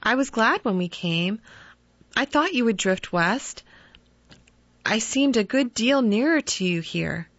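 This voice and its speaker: Storybook mother, gentle and pleading, high and tender, read by a woman; dry, dull recording. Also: animated, unhurried, dry recording. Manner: soft, loving, raised high and earnest.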